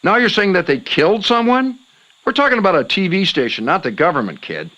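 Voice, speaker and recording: A man playing a gullible, theatrical late-night paranormal radio host in a comedic audio drama, quick to indignation. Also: high-pitched, big pitch swings, sharp tone, heavily acted. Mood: skeptical.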